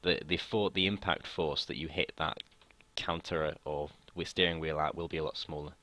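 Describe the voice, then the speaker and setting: A young man from the United Kingdom explaining a physics lesson in a casual way, his voice slightly croaky from long talking, often hesitating and restarting sentences. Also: rumbling low, fairly even intonation, normal speed, bright, forceful tone. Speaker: student tutor.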